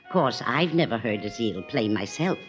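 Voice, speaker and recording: An actress playing an ominous household servant with a brooding, foreboding manner in an old-time radio drama. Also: female voice, rumbling low, animated, normal speed. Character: ominous household servant woman spreading dark family gossip. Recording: muffled vintage radio recording with music.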